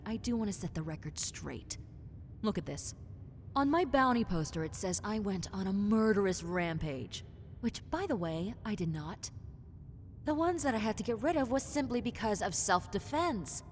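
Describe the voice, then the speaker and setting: A woman voicing a possessive, teasing lamia, a half-snake predator, in a roleplay audio drama, with condescending, seductive taunts that slip into breathy whispers. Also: medium pitch, animated, moderate pace, natural voice. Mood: indignant. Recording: long cave-like reverb, faint noise.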